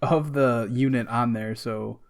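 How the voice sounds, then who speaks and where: A man's voice: mid-range voice, fairly even intonation, a little slow, vocal fry. A man co-hosting a podcast in casual, conversational chat. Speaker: podcast co-host.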